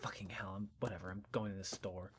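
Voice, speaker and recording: A woman's voice: rumbling low, fairly even intonation, fast talker, husky, exaggerated voice. A woman playing a stubborn, skeptical young friend in a naturalistic audio drama, with a dry, flippant delivery. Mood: annoyed, stubborn.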